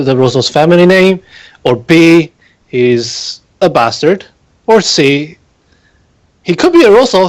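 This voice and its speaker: A man with a fairly high voice talks as one of the players at a tabletop game. He speaks in an informal, conversational way. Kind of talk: casual chat.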